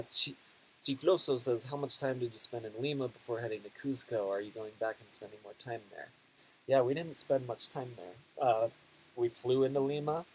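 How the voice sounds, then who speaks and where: A man hosting a laid-back travel live stream, reading out viewer questions and answering in an easygoing, rambling way with plenty of fillers. His voice sits at an ordinary middle pitch, with a faint hiss of noise and room ambience behind him. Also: rough recording. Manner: relaxed, hesitant, frequent fillers and laughs.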